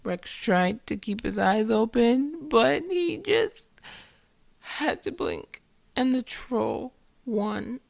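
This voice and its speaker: A woman tells a children's bedtime story at an unhurried pace. Her mid-pitched voice has a creaky edge and sounds close and dry. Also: lively intonation, no room sound. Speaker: narrator.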